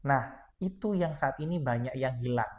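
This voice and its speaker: A man's voice: mid-range voice, moderate pace, highs cut off. A man in his thirties from Madura, a podcast guest giving earnest, encouraging advice to young listeners in a casual chat. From Madura, Indonesia.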